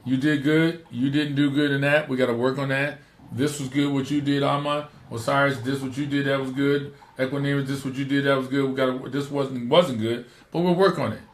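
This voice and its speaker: A middle-aged man with a naturally rough voice, hosting a podcast and giving emphatic, repeated advice in casual chat. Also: medium pitch, fairly even intonation, fairly quick, roomy sound, slight background noise. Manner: emphatic, repeats points for stress. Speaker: podcast host.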